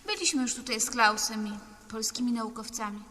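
A woman's voice: fairly high pitch, brisk, pushed and bright. An actress playing an anxious, doubting young woman on a treasure hunt in a 1970s Polish radio drama, earnest and fretful. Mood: discouraged. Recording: noisy 1970s radio play recording.

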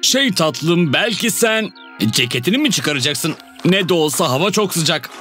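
A man's voice: a little high, lively intonation, moderate pace, lightly acted. A man voicing an anxious, long-suffering husband in a children's cartoon, pleading and fussing. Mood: coaxing. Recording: loud music under the voice.

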